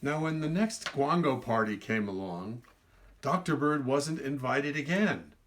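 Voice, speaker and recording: A male storyteller with a medium-pitched voice reads a picture book aloud to children. He stretches words for emphasis and steps out of the tale to add small explanations. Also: lively intonation, measured pace, fairly bright tone, slight background noise. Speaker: storyteller reading his own book.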